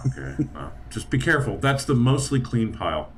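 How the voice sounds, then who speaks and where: A man's voice: medium pitch, sing-song, brisk, a little hiss or hum. A man playing in a tabletop game, easygoing and hesitant, with plenty of fillers. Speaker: player. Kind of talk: casual tabletop game chat.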